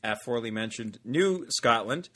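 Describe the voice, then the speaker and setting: A man's voice: mid-range voice, lively intonation, normal speed, a bit bright. A man co-hosting a podcast from home, chatting casually and joking with lots of fillers and restarts. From Canada. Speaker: podcast co-host. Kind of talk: casual chat. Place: at home in front of a computer.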